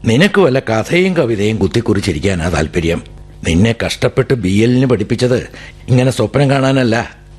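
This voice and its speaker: A man's voice: medium pitch, sing-song, normal speed, husky. An actor playing a tired older father who runs a newspaper, sighing and pressing his daughter. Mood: weary, pressing. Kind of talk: radio drama acting. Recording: vintage radio broadcast with music.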